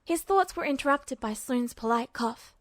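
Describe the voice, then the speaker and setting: Female storyteller, high voice, scripted audiobook-style narration, dry close recording. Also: lively intonation, moderate pace, dry recording.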